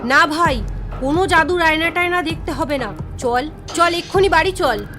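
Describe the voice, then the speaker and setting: A woman's voice: very high voice, moderate pace, over music. A woman voicing a young village girl in a cartoon, a worried, scolding older sister. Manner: anxious, scolding, pleading. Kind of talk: cartoon dialogue acting.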